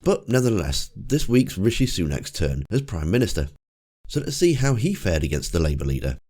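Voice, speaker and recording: A man with a croaky, cold-roughened voice, giving casual commentary with dry, wry asides. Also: low voice, very animated, fairly quick, warm tone. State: hoarse from a cold. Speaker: political commentator. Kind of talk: casual commentary over clips.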